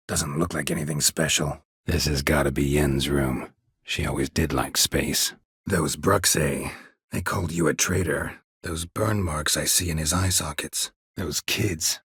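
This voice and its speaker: An actor playing a gruff, stoic monster hunter in a video game, with terse, deadpan, dry-witted delivery and a gravelly voice. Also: male voice, no room sound, heavily acted. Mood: somber, measured. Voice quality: gravelly, husky low voice. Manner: terse, deadpan, clipped phrasing.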